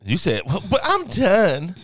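A middle-aged man co-hosting a casual podcast, speaking bluntly and emphatically in slang with a deep, carrying voice. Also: wildly swooping pitch, a little slow, a crowd in the background. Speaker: podcast co-host. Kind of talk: casual banter with wife. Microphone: own podcast microphone.

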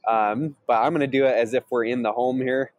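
An upbeat, energetic man co-hosts a casual chat and explains his trade with easy enthusiasm. His pitch sits in the middle range. The recording is dry and close, with no echo. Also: a little fast. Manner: upbeat, energetic, laughs easily. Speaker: podcast co-host, sales trainer. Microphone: remote call, each on own mic.

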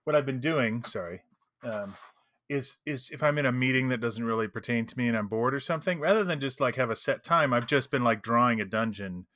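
A man's voice: mid-range voice, a little fast, fairly bright tone, dry recording, studio quality. A man co-hosting a podcast, telling small anecdotes in casual conversation. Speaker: podcast co-host.

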